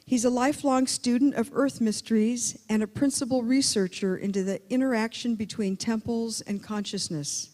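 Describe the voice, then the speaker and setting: Mellow-voiced woman introducing a speaker. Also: on the high side, fairly even intonation, normal speed, hardly any pauses. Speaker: conference host introducing a speaker. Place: conference hall. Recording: long hall reverb.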